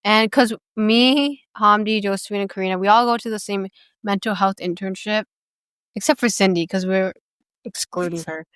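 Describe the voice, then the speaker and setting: Sex: woman. Origin: United States. Role: podcast host. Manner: rambling, giggly, full of fillers. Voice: smooth and clear, mid pitch. Room: dry. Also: steady tone, normal speed, a bit bright, no echo. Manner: rambling, giggly, heavy use of "like". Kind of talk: casual chat.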